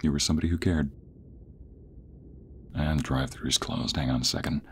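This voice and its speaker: A low, deep voice belongs to a man acting as a protective young male friend in a romantic audio roleplay. He talks closely and earnestly, with a teasing streak, over a steady vehicle-like background rumble. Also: a little fast. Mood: gently insistent. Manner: earnest, teasing, sighing and chuckling between phrases. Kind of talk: ASMR romantic audio roleplay, intimate one-sided dialogue.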